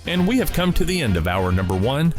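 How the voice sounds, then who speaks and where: A man's voice: fairly high pitch, big pitch swings, fairly quick. A man presenting a radio programme in a scripted host's delivery. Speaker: radio host. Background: music with guitar.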